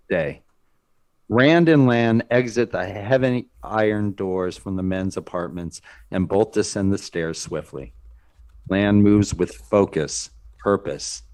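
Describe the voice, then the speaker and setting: A man reading screenplay stage directions as narrator, in a measured, deliberate manner. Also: fairly low pitch, darkish tone. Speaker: narrator of a script read.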